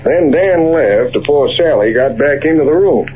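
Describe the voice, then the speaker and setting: An actor playing a folksy old-West cowboy narrating a radio western as a drawling yarn. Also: male voice, a little high, expressive, moderate pace, soft background music, close to an everyday voice. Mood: reminiscing. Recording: muffled old-time radio recording.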